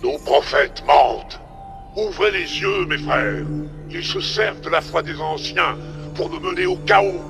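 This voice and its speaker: A man playing a defiant alien heretic leader in a video game, delivering rousing oratory in a very raspy voice. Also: on the high side, sing-song, unhurried, over music, heavily acted. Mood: defiant, impassioned. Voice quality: very gravelly, raspy. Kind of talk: dramatic video game cutscene acting.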